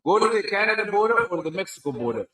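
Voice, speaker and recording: A high-voiced man argues indignantly with officers. He is caught on a distant phone video in a very roomy space, with a crowd murmuring all around. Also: big pitch swings, quick pace. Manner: indignant, protesting. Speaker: driver filmed in a viral clip. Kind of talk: arguing with officers. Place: airport terminal.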